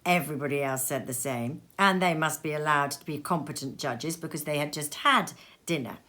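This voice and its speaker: A woman storyteller reading classic literary narration aloud in an expressive way. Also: low-pitched, fairly quick.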